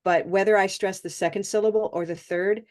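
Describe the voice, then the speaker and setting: A woman's voice: mid-range voice, fairly even intonation, fairly quick. Chatty woman teaching pronunciation online. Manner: warm, encouraging, laughs often.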